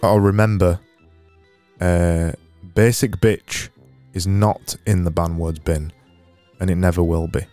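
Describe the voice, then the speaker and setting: A man with a Barnsley accent hosting his own podcast, talking alone in a sarcastic, deadpan, self-mocking way. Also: deep voice, takes it slowly, faint music behind. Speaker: podcast host. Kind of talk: solo comedic podcast monologue.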